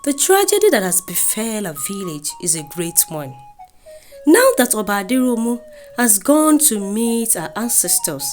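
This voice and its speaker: A woman's voice: a little high, very animated, moderate pace. A woman with a Nigerian accent narrating a scripted folktale in a dramatic storytelling style. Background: music.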